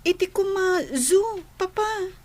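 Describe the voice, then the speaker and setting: A small girl in a radio drama, with an extremely high, childlike pitch. She speaks slowly and hesitantly, shy but sweet toward the grown-ups. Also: steady tone, darkish tone. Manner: hesitant, slow. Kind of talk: Ilocano radio drama acting.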